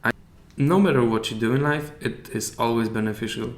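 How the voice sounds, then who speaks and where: A man with a Polish accent, a native Polish speaker, chatting as a podcast guest and often pausing to search for English words. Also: mid-range voice. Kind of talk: casual interview chat. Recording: echoey room with faint hiss.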